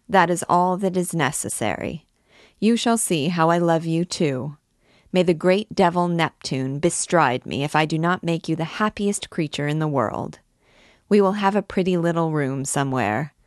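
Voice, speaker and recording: A woman's voice: deep voice, no echo, studio quality. A woman reading as a vain, amorous young cavalry captain. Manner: gallant, boastful, effusive. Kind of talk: audiobook character dialogue.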